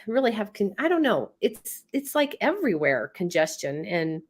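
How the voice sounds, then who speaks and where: A woman's voice: mid-range voice, big pitch swings, normal speed, husky. Congested woman chatting on a live stream. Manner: chatty, rambling, laughs often. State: congested from a head cold. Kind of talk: casual live stream chat, reading viewer comments.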